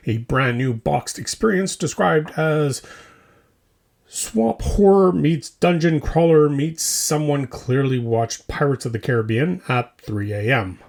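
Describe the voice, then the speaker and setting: A man's voice: fairly high pitch, lively intonation, unhurried, creaky, darkish tone. A man hosting a scripted mock news show, delivering it like a theatrical, mock-serious newscaster. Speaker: host of a hobby news show.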